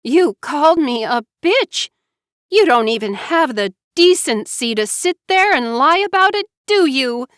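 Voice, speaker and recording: Raging jealous young sister, read by a woman: high, loud, accusing, dry. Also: lively intonation, measured pace, no room sound. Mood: furious. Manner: shrill, accusing.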